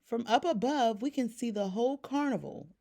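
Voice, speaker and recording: A woman voicing excitable, scheming male plush carnival toys in a storybook, with a boastful, theatrical delivery. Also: a little high, moderate pace, pitched above the performer's own voice, natural voice. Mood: excited, scheming.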